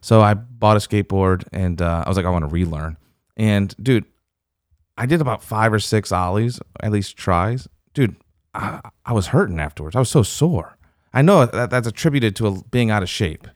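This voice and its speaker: A man in his thirties chatting casually as a co-host, teasing his partner in relaxed banter. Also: on the low side, normal speed, dry recording, studio quality. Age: 30s. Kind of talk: casual chat.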